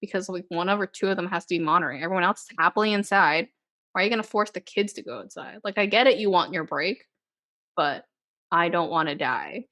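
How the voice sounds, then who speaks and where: A young woman from Canada co-hosting a podcast, chatting casually with lively swings in pitch and frequent laughter. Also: fast. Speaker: podcast co-host. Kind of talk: casual chat.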